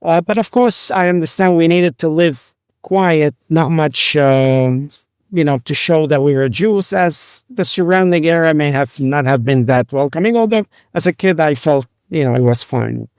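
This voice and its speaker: A man in his sixties from Lebanon, a rabbi and physician, telling his life story in an interview, speaking haltingly with many fillers and restarts. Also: on the high side, darkish tone, clean tone, no echo, clean studio sound.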